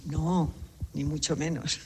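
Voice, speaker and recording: A woman, a cloistered nun, answering interview questions calmly, firmly and with assurance. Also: low voice, slow pace, a little hiss or hum. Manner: calm, firm and assured.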